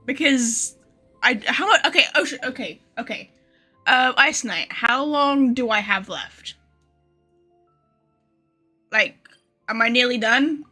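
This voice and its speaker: High, bright, husky-edged woman game streamer. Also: slow, pauses often, faint music behind. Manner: excitable, rambling, swears freely. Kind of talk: casual live gaming commentary, chatting to viewers.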